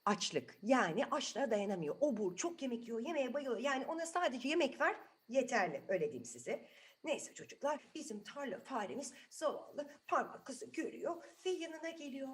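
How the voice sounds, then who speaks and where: A woman's voice: high-pitched, very animated, normal speed. A woman telling a story to children in an improvised, chatty way, asking the listeners questions as she goes.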